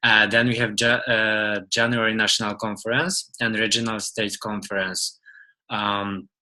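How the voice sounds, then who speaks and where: Bright, even-toned Polish-accented man. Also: fairly low pitch. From Poland. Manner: halting, with frequent filler words. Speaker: webinar presenter. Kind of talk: informal presentation of slides.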